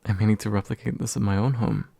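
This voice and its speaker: A man playing a courteous, gentle demon in a soft ASMR roleplay, politely formal and a little hesitant. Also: lowish voice, steady tone, quick pace, gravelly, husky, no echo, heavily acted. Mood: musing, genial. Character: courteous, gentle male demon offering comfort to his summoner. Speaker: ASMR voice actor.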